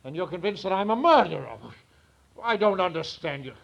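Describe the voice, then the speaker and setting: A man's voice: sits high, lively intonation, fairly quick, gravelly, fairly bright tone, heavily acted. An actor playing an evasive old con man who feigns polite innocence and stammers in protest, in 1940s radio drama style. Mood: indignant, amused. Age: older. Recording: muffled vintage 1940s radio recording.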